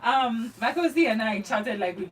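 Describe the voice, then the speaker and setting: A woman's voice: a little high, animated, normal speed, far from the mic, slight background noise. A woman hosting a morning radio show, upbeat and chatty, often checking in with her listeners. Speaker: radio host. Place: radio studio.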